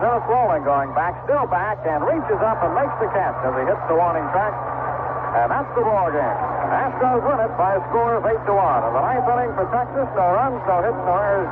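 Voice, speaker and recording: American man, baseball play-by-play, slightly high voice, very noisy 1970s radio sound. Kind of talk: 1970s live radio sports commentary. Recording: noisy, tinny vintage AM radio broadcast.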